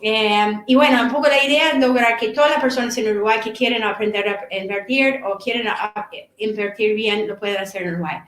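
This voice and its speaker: A middle-aged woman, an author and course instructor, giving a seminar talk in a halting way, often repeating words as she searches for them. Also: a little high, fairly even intonation, a bit bright. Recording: echoey room.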